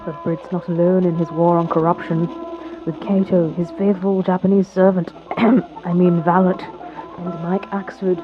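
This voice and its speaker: A woman's voice: on the low side, little pitch movement, moderate pace. A woman giving a wry, tongue-in-cheek scripted summary narration. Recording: tinny band-limited voice under music.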